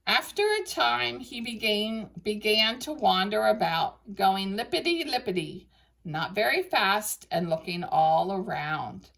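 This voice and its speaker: A woman reading a children's story aloud in an expressive, deliberate storytelling manner. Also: medium pitch, vocal fry. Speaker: storybook reader for schoolchildren.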